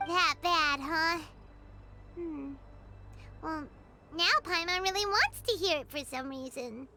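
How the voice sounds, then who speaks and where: A tiny, eager game companion with an extremely high, childlike voice. She is candid and expressive, with faint music playing underneath. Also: slow. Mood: curious. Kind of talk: video game voice acting.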